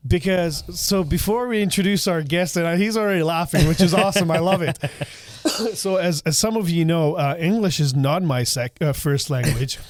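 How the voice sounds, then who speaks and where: A man from Slovakia with a Slovak accent, hosting a casual podcast chat, laughing often and talking in quick bursts. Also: on the high side, dry recording. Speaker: podcast host.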